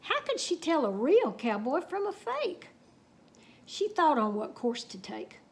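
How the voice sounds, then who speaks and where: A woman reads a children's story aloud. She takes her time, stretching words in a folksy, expressive way and speaking to her listeners directly. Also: high voice, a little slow. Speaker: storyteller reading a picture book aloud. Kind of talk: animated children's read-aloud with folksy asides.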